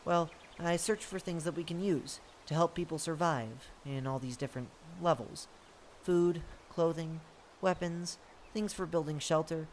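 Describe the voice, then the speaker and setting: A reader acts out a timid human scout in an audio drama. The voice is pitched up from the reader's own, unsure and apologetic, as if lost among strangers. The recording is clearly noisy. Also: a little slow, close to an everyday voice. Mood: nervous, earnest. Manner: hesitant, apologetic.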